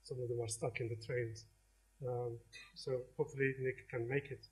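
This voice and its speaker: Middle-aged man from Algeria, university lecture, conversational and halting, ordinary pitch. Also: flat delivery, poor audio. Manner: warm, conversational, with frequent hesitations and restarts. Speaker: professor giving a lecture. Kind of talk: inaugural academic lecture. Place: lecture hall.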